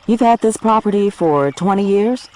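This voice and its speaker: A woman asks brief, curious questions as she walks. Her pitch moves about lively. She is outdoors on rural land. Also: moderate pace. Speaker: visiting author asking questions. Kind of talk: casual interview on a walk.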